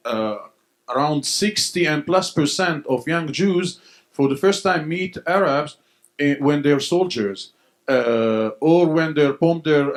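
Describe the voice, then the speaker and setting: A man from Israel speaking on a panel, earnest and halting, often searching for English words. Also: mid-range voice. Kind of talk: answering on a panel Q&A.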